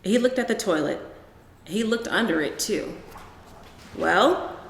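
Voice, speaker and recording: A woman storyteller narrating a children's story in an expressive, read-aloud style. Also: mid-range voice, moderate pace, a little hiss or hum. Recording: echoey, reverberant room.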